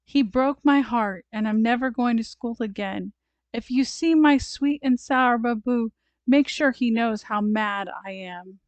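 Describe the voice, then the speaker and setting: A woman's voice: high voice, very animated, a little slow, few pauses, fairly bright tone, no room sound, dull audio, pitched above the performer's own voice, full character voice. A woman voicing a stubborn, lovestruck, dramatic little girl in a storybook, speaking insistently. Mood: heartbroken, indignant. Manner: insistent, emphatic.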